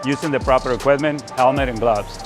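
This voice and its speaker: A man's voice: mid-range voice, fairly quick, over music. A welding instructor, a man, explaining the day's lesson plainly and step by step.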